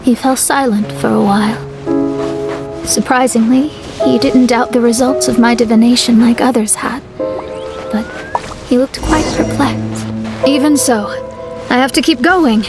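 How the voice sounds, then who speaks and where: A woman's voice: on the high side, a little slow, pauses often, loud music behind the voice. A woman playing a proud, serious young astrologer in a video game, with a formal, lofty, self-assured delivery. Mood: solemn.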